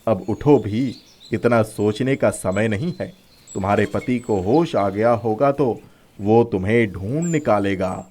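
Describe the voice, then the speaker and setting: A man's voice: fairly low pitch, measured pace, a little mellow, a little hiss or hum, lightly acted. A man voicing a helpful magical talking pakora in a children's story, giving instructions in a commanding way. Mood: brisk, directing. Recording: music playing behind the voice.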